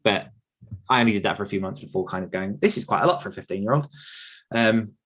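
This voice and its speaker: Low-pitched British man chatting casually. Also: fast, fairly bright tone. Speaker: podcast guest and author. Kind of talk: casual personal anecdote in conversation.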